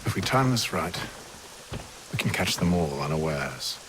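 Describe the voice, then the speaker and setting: An actor playing a composed, calculating gentleman who leads conspirators in a period video game, measured and formal. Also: deep voice, sing-song, noisy background. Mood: scheming, confident. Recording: loud music behind. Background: loud music.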